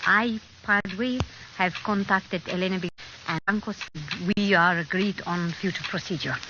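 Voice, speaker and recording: An actress playing a cool, cunning Spanish Republican agent in an old radio drama, speaking in a poised, formal, deliberate way. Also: female voice, medium pitch, natural voice. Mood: smooth, coaxing. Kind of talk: 1940s radio drama acting. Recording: dull, noisy old radio recording.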